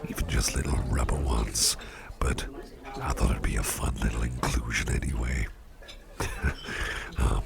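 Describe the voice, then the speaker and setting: A man voicing a jovial, eager office boss chatting one-on-one at a party, chummy and teasing in soft half-whispers, as an ASMR roleplay. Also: rumbling low, vocal fry, exaggerated voice. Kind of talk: ASMR roleplay, personal attention.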